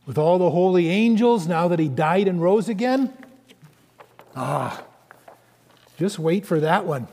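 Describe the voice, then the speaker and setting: Male pastor, high voice, animated sermon delivery, echoing church acoustics. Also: slightly rough. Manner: animated preaching with conversational asides.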